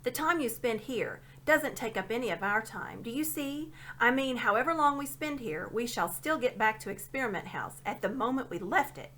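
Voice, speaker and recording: A sulky schoolboy in a storybook, voiced by a woman reader, grumbling and easily annoyed. The voice is bright and fairly high, with animated, snappish turns. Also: moderate pace, hardly any pauses. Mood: impatient explaining. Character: cross, sulky schoolboy, impatient and miserable.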